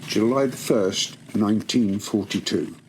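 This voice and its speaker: A man reading formal condolence letters as a solemn British wartime dignitary, in a measured manner. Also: fairly low pitch, a little hiss or hum, lightly acted, low-quality recording. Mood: solemn, sympathetic. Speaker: voice reader of letters.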